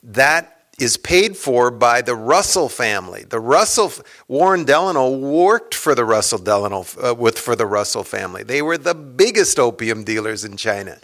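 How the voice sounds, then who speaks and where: A man's voice: medium pitch, sing-song, moderate pace, hardly any pauses, fairly bright tone. A man, an author, speaking to an audience about his book in a loose, rambling way with many fillers and restarts. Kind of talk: casual lecture talk with audience Q&A.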